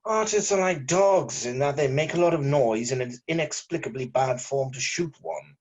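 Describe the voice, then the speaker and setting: A man's voice: fairly high pitch, moderate pace. A man reading a weary, bitterly sarcastic journalist in an amateur script read. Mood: exasperated. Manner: exasperated, sarcastic.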